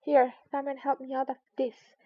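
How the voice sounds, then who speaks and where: A woman's voice: sits high, monotone, moderate pace, no echo, close to an everyday voice. A woman voicing an angry, bossy male rabbit from a storybook, scolding and commanding. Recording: dull lo-fi recording.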